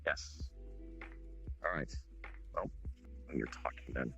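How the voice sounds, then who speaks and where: A man's voice: very deep voice, takes it slowly, vocal fry, music in the background, heavily acted. A man voicing a weary guardian who talks hesitantly, sighs and apologizes, on a dull lo-fi mic. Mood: wary. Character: weary male guardian of the humans. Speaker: roleplay player. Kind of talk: in-character roleplay dialogue.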